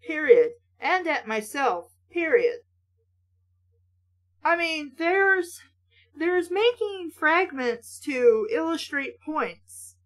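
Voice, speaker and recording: High voiced woman doing snarky book commentary, exasperated, swears casually, blunt. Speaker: host of a book-mocking reading show. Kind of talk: reading aloud with sarcastic commentary.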